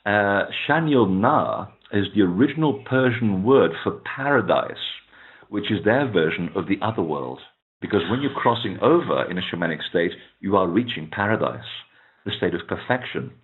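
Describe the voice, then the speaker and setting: Low-voiced man on a phone line. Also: normal speed. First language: Portuguese. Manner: relaxed, many "uh" fillers. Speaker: author and guest. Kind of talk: phone interview, explaining at length.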